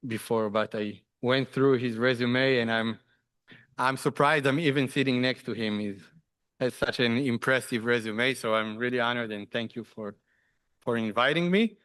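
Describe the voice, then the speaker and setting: A middle-aged man from Israel with an Israeli accent, giving halting remarks as a panelist with many restarts and fillers. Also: medium pitch, a bit bright, clean tone.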